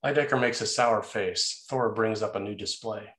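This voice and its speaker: A man's voice: on the low side, normal speed, fairly bright tone. A man reading stage directions as the scripted narrator of a screenplay table read. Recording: echoey, roomy sound.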